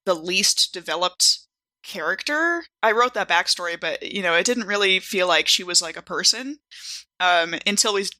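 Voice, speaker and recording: A woman's voice: on the low side, expressive, normal speed, bright, forceful tone. A woman chatting casually as a podcast cast member, looking back on a character she played. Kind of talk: casual retrospective chat.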